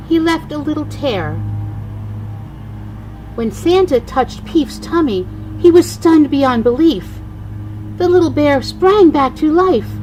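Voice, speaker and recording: Grandmother storyteller: older woman, slightly high pitch, deliberate and emphatic read-aloud, some background noise. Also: darkish tone, rough recording.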